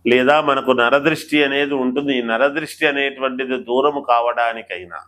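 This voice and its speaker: A man speaking as an astrologer, explaining remedies to viewers in a deliberate, instructional way and repeating phrases. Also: mid-range voice, fairly bright tone. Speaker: astrologer presenter.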